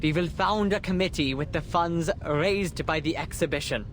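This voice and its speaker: A man's voice: a little high, normal speed, a little hiss or hum, lightly acted. An actor playing a solemn, idealistic man giving a theatrical memorial speech in a grandiloquent, oratorical manner in a video game. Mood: impassioned, solemn. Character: solemn eulogist, an idealistic man mourning his friend.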